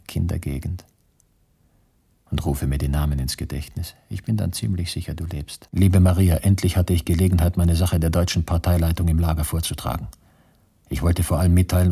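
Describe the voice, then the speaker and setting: Husky, low, disillusioned camp prisoner. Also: male voice, mellow, full character voice. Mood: wistful remembering, then bitter, weary. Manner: brooding, bitter, measured. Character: weary, disillusioned concentration camp prisoner, communist, writing to his beloved. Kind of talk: radio drama monologue.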